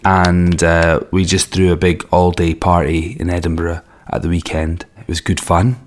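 A man with a slightly raspy, worn throat hosts a podcast in a casual, rambling way with wry, self-mocking asides. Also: sits low, flat delivery, moderate pace, slight background noise. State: strained throat, losing his voice. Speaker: podcast host and record label owner. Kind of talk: casual solo podcast introduction.

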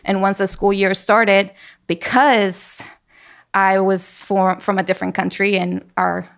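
A woman's voice: medium pitch, flat delivery, unhurried, vocal fry. A young woman from Venezuela, a Spanish speaker, telling stories animatedly as a guest and laughing a lot. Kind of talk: casual conversation.

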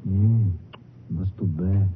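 A man's voice: deep voice, slow pace. An actor playing a timid Mexican man in a western radio drama, stammering and deferential, with a Mexican accent. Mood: nervously polite. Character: frightened, submissive Mexican man living alone in shack. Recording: tinny, faintly noisy old radio recording.

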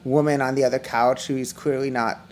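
A middle-aged man, a musician guest, chatting casually on a podcast, rambling with restarts and fillers in a high, slightly whiny voice. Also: little pitch movement, fairly quick, a little mellow, a little hiss or hum. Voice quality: self-described high, whiny voice. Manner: rambling, many restarts and fillers, laughs often.

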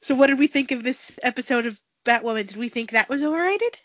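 A woman's voice: a little high, expressive, fast talker, fairly bright tone, no room sound. A woman co-hosting a podcast in casual chat, sounding nasal from a cold and eager to argue. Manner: chatty, argumentative. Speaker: podcast co-host. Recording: dry, dull lo-fi sound.

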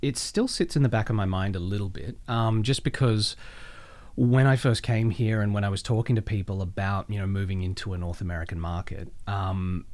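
A man with an Australian accent talking casually as an interview guest, haltingly, as if thinking aloud. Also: lowish voice, darkish tone. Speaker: voice actor, podcast guest.

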